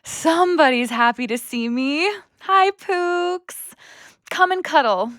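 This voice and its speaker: A woman's voice: high-pitched, lively intonation, takes it slowly, a bit bright, no echo, clean studio sound, full character voice. A young woman playing a restless, anxious daughter who longs for adventure, acting earnestly in an audio play. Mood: affectionate. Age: young adult.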